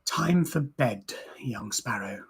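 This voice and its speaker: A woman voicing an ancient, wry and commanding female crone creature in a storybook reading, with a raspy voice and a slow, low, knowing manner. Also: lively intonation, exaggerated voice. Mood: stern.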